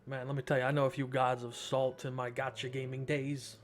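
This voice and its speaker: A man's voice: mid-range voice, completely flat delivery, a little fast. A man hosting a gameplay video with casual, informal commentary, reacting in short asides. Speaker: gaming video host. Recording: soft game music behind.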